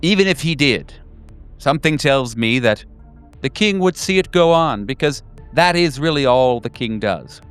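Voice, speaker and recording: A man plays a bookish, enigmatic servant of an unearthly king in a horror roleplaying game. He speaks in a measured, philosophical way with a smooth, clear tone. Music sits behind the voice. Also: medium pitch, expressive, a bit bright, lightly acted. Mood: ominous, cryptic. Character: cryptic, bookish male servant of a cosmic king. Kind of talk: improvised tabletop horror roleplay.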